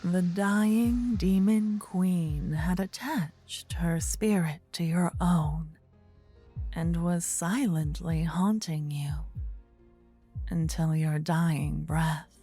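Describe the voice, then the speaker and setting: A woman narrating a scripted fantasy story in a soft, breathy ASMR style. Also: fairly low pitch, extremely slow, soft background music.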